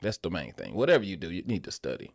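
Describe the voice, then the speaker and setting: Low-voiced man. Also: huge pitch swings, fast, vocal fry, breathy edge. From Memphis, United States. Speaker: interview guest, actor and filmmaker. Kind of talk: casual conversational interview.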